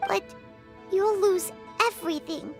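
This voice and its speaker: A woman voicing a tiny, childlike fairy companion, excitable and anxious, in an anime video game. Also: measured pace. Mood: alarmed. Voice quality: squeaky, childlike. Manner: emotional, pleading. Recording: loud game music behind.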